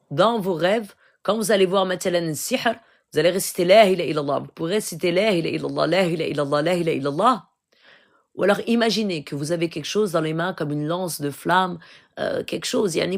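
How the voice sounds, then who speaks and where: A woman's voice: lowish voice, creaky. A middle-aged woman with a Moroccan accent, hosting a live stream and advising viewers, peppering her talk with "euh" and "d'accord?" asides. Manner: code-switching, frequent "euh" and "d'accord?" asides.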